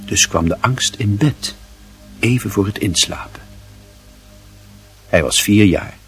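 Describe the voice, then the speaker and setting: A man narrating a literary radio drama in a solemn, measured and expressive manner. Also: lowish voice, faint music behind.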